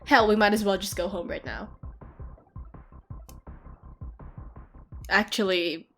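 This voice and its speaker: Excitable retro-gamer girlfriend, played by a woman, mid-pitched, playful and teasing, casual audio roleplay over background music. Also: brisk, close to an everyday voice. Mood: amused. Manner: giggly, playfully ranting, animated casual talk. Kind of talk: audio roleplay, casual one-sided chat to a partner. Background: game-like ambient music.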